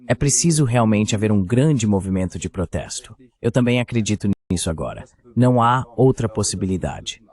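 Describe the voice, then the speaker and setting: A man's voice: on the low side, darkish tone. A man giving an insistent, argumentative voice-over translation of a guest on a discussion panel.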